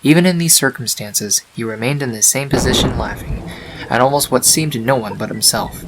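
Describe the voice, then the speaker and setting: A man's voice: mid-range voice, fairly quick. Male story narrator, faint hiss. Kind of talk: scripted fan-fiction story narration. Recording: faint hiss on home recording.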